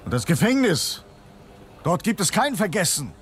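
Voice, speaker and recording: A man acting in a German radio drama as a steady, grim but consoling Russian revolutionary leader, speaking tersely and matter-of-factly. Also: sits high. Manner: terse, measured, matter-of-fact. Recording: studio radio play with music behind.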